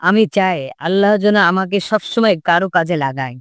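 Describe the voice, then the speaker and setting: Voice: mid-range voice, lively intonation, a little fast, clear, resonant tone, dry recording, studio quality, natural voice. A performer voicing an earnest, polite, kind-hearted schoolboy in a scripted cartoon. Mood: polite, hopeful.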